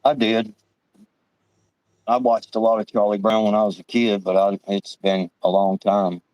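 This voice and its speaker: A man in his late fifties chatting loosely on a livestream, casual and profane. His voice sits somewhat low. The sound is dry and close, with no room echo. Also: little pitch movement, normal speed, darkish tone, dull audio. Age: 50s. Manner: easygoing, swears casually. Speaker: livestream co-host.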